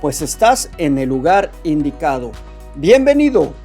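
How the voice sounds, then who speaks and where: Man, testimony show host, fervent preacher-like exhortation, raised pitch, light music bed. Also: sing-song, unhurried, warm tone.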